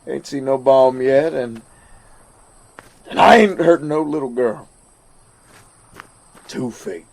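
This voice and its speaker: Man acting a slow, haunted American soldier. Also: medium pitch, gravelly. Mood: agitated, emphatic. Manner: halting, stammering, repeats words. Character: haunted male American soldier recounting a deadly standoff. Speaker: actor in an audio drama. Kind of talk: dramatic first-person monologue.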